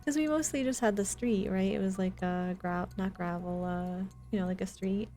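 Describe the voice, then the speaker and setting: A woman's voice: mid-range voice, fairly even intonation, moderate pace, warm tone, faint music behind. A woman chatting informally and playfully with viewers while she streams a video game. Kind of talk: casual live gaming chat with viewers.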